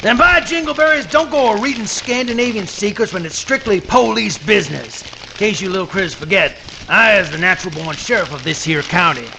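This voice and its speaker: High, blustery alligator sheriff. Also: male voice, animated, fairly quick, vocal fry, pushed and bright, a little hiss or hum, full character voice, poor audio. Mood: bossy, excited. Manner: blustering, folksy, exclamatory. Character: blustery, hot-tempered male alligator, self-appointed country sheriff. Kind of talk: comic radio play acting, folksy dialect. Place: live reading at a hotel venue.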